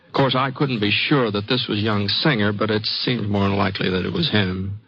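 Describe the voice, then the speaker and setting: An actor playing a mild, reluctant American gunfighter with a hesitant, folksy way of talking, in an old-time western radio drama. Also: male voice, lowish voice, fairly even intonation, brisk, slightly rough. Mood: reflective narration. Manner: hesitant, folksy, understated drawl. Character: mild, reluctant middle-aged western gunfighter telling his tale. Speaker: actor and narrator. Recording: dull vintage radio recording, music behind.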